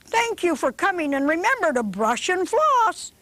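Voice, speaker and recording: A woman voicing a cheerful, kindly male dentist in a puppet show, talking in a bright reassuring way. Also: very high voice, big pitch swings, normal speed, pitched above the performer's own voice, exaggerated voice. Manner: bright, reassuring bedside talk.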